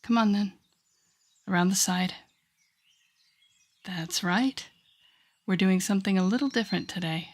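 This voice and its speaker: A woman's voice: medium pitch, a little slow, long pauses. A woman playing a wry, teasing telepath villain who mentors a rookie hero, in an intimate, conversational audio roleplay. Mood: musing, wry. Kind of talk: intimate second-person audio roleplay acting.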